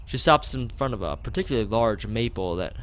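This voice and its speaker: A man with a fairly low voice reads a visual novel aloud for a stream. His pitch moves around in a very animated way as he shifts between narration and dialogue. He sometimes stumbles over a word and carries on casually. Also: a little fast. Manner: stumbles over words while reading. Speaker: let's play streamer. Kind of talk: reading a visual novel aloud with character lines and casual asides.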